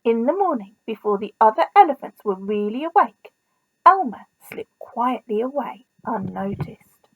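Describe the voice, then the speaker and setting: A woman teacher reading a children's story expressively, in a deliberate manner with pauses. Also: a little high, very animated, slightly rough, poor audio. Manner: deliberate, pausing, asks listeners questions.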